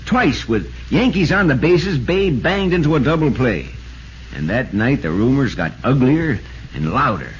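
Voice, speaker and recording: Animated American newspaperman in vintage radio drama. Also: mid-range voice, a little slow. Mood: dramatic storytelling. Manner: dramatic, insistent. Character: earnest, urgent male baseball reporter telling his story. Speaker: narrator and actor in a radio drama. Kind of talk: 1940s radio acting, dramatic narration. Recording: muffled, noisy vintage radio recording.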